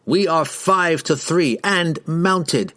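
Fantasy audiobook rider, acted by a male narrator: pompous, sneering town guard, formal old-fashioned wording, animated pitch. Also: measured pace, lightly acted. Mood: scornful. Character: haughty, hostile male town rider, leader of patrol.

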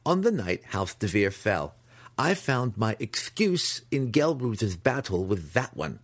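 A man voicing a bitter, vengeful young male dark elf who spits out words forcefully. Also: fairly low pitch, sing-song, unhurried, voice pushed up. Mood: defiant. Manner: spiteful, spitting, forceful.

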